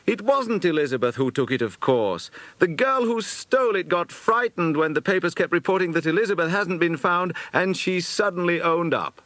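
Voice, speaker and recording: A well-spoken boy read by a man in a children's story. He is polite, earnest and anxious. The voice sits raised, with lively movement in the pitch. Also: moderate pace, few pauses, no room sound. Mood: relieved, breathless news.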